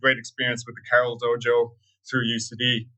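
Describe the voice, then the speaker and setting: A young Irish man answering interview questions in a thoughtful, measured way with some fillers. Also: mid-range voice, monotone, sharp tone, rough recording. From Ireland. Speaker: guest.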